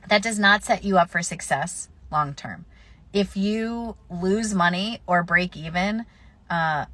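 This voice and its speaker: A woman with a slightly lower voice, stuffy from a mild cold, answering viewers' questions on a live stream. She gives practical advice in an easygoing, conversational way, often pausing on fillers. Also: fairly bright tone.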